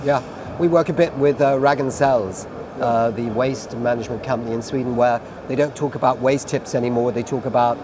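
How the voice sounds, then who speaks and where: A man's voice: mid-range voice, fairly quick, background noise. A British man moderating an online panel over a video call, asking long, chatty questions. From Britain.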